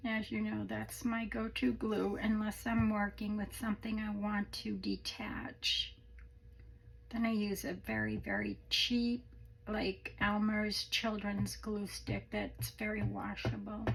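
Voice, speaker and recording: Croaky-voiced woman chatting while crafting. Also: medium pitch, level tone, takes it slowly, rough recording. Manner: chatty, rambling, self-deprecating with small laughs. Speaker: crafting channel host. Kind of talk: casual craft tutorial chat.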